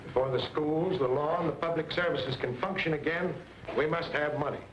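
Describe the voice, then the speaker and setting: A man's voice: a little high, natural voice. Man playing a formal army commander, dull recording. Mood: stern, formal. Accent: American. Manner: formal, measured, authoritative. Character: principled, stern Union army commanding officer. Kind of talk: 1940s western film acting. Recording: dull, noisy old film soundtrack.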